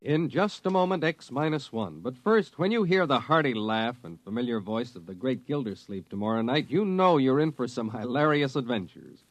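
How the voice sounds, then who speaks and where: Animated American radio promo man. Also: mid-range voice, moderate pace, few pauses, dry recording. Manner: polished, upbeat sales delivery. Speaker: network radio announcer. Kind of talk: 1950s scripted radio promo.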